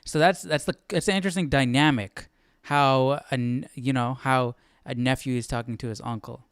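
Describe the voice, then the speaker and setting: Clear, dry-sounding man thinking aloud. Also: medium pitch, fairly even intonation, moderate pace, no room sound. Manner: thinking aloud, self-questioning. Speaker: live stream Bible study host. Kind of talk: casual study commentary, reading aloud and reacting.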